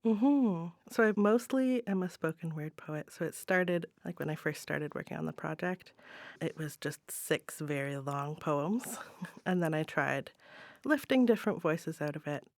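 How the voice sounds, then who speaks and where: A woman chatting as an interview guest, thoughtful and tentative, often trailing off softly. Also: sits low, animated, unhurried, no room sound, studio quality. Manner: thoughtful, tentative, hedging with soft trail-offs. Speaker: guest playwright and spoken word poet. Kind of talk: casual interview chat. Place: studio, in person.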